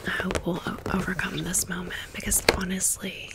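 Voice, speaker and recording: A woman whispering in a breathy voice, chatting casually through an ASMR haul with gushing, sassy slang. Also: on the low side, little pitch movement, moderate pace. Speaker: ASMR video creator. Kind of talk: ASMR doll haul, rambling commentary.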